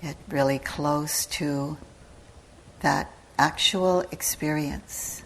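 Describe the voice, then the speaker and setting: A woman teacher giving guided meditation instructions in a calm, measured manner, with long pauses between short phrases. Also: low voice, a little hiss or hum, low-quality recording. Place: meditation retreat hall.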